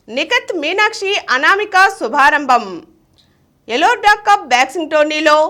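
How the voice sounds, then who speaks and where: A woman's voice: extremely high pitch, bright, forceful tone. A woman reading a scripted news bulletin as a news reader.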